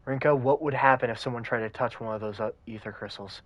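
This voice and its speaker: A man's voice: mid-range voice, fast, no echo, dull audio, natural voice. A man reading out the lines of a determined young man who fights spirits, grim and urgent.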